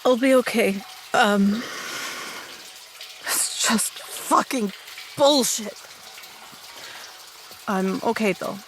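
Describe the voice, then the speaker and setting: A woman's voice: fairly high pitch, extremely slow, long pauses, a little hiss or hum, pitched above the performer's own voice. A woman voicing a weary, grieving, guarded female adventurer in a tabletop fantasy game. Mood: tearful, frustrated.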